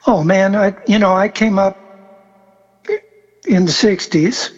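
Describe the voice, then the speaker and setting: A man in his seventies, a musician guest born in Argentina and raised across South America, telling stories in a relaxed, rambling way with many fillers. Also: high voice, slow pace, lo-fi sound. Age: 70s. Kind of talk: casual conversational interview.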